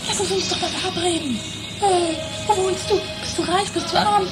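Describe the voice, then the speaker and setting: A woman's voice: very high voice, fairly even intonation, moderate pace, loud music behind the voice, poor audio. A woman playing a brazen, bossy secret agent on the run in an improvised comic audio drama, teasing and haughty. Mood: probing, bossy.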